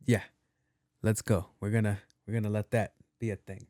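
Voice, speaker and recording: A young man hosting a podcast in a soft voice, rambling casually with plenty of cursing and restarts. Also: flat delivery, normal speed, no echo, clean studio sound. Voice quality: soft, not deep. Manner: loose and profane, with many restarts and repeats. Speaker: podcast host.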